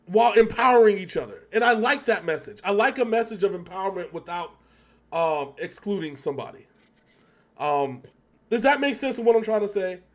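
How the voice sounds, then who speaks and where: A man's voice: high voice, animated, brisk. A man co-hosting a reaction show, talking casually in a rambling, emphatic way with repetitions and free swearing. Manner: rambling, emphatic, repeats himself, swears freely. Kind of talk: casual music video reaction commentary.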